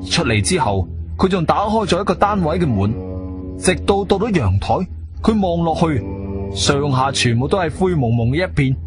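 A man's voice: on the high side, animated, moderate pace, lightly acted. An actor with a Guangzhou Cantonese accent playing an inquisitive, self-assured investigator who tells his own story in a theatrical radio drama style. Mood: pondering. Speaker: narrator and lead actor. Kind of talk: Cantonese radio drama, first-person narration and dialogue. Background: music.

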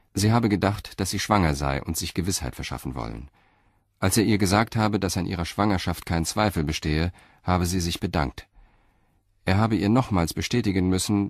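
Deep-voiced male crime narrator. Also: no room sound, studio quality. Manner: calm, even storytelling. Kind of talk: scripted narration of a radio crime play.

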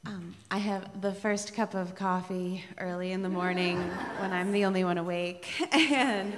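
A woman's voice: medium pitch, unhurried. A woman congregant casually sharing personal joys during a church gathering. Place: large church sanctuary. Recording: echoing church hall, faint noise.

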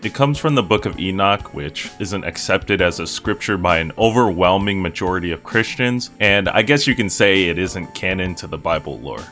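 Young male video essayist, low register, casual scripted read with a joking, irreverent edge. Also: lively intonation, normal speed, hardly any pauses, sharp tone. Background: music with guitar under the voice.

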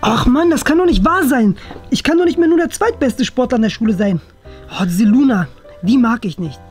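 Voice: medium pitch, animated, a little fast, soft background music, natural voice. A performer playing a cocky, vain, sporty schoolboy who teases and boasts in children's role-play comedy. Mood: sulky. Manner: teasing, boastful.

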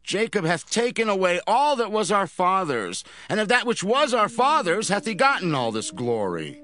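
Resentful biblical son: high, forceful, very animated. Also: male voice, normal speed, exaggerated voice. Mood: indignant.

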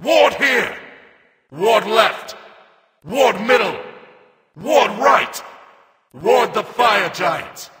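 Grim robot reaper for a video game, barks orders, gravelly and really piercing, big reverb. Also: male voice, high voice, animated, drawn-out words, long pauses, heavily acted. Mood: grim, controlled. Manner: forceful, barked short commands. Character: commanding robotic reaper warrior, grim and battle-hungry. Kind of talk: video game character callouts.

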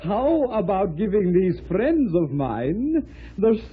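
An actor playing a courtly, befuddled talking soup spoon who stammers and twists words, in old-time radio comedy style. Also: male voice, sits high, big pitch swings, slow, a crowd in the background, exaggerated voice. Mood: chatty, flustered. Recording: tinny, noisy old radio recording.

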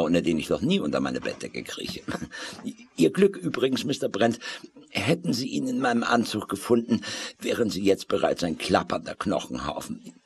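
A smug, deranged professor in a horror radio play. His low voice swoops expressively as he holds forth with pompous, menacing courtesy. Some noise sits behind him. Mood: mocking, menacing. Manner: pompous, hesitant, frequent throat-clearing. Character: smug mad villainous professor, male, philosophical, menacingly polite. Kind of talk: German audio drama acting.

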